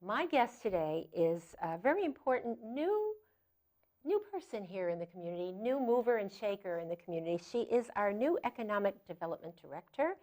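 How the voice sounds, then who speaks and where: A woman hosting a community TV interview show, encouraging her guest with easy, warm questions. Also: mid-range voice, very animated, a little fast. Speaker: talk show host.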